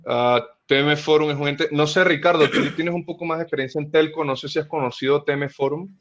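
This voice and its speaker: A man's voice: on the high side, steady tone. A man with a Mexican accent, moderating an online meetup over a video call, friendly and saying "este" a lot. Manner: quick, friendly, uses "este" fillers. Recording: dull, lo-fi call audio.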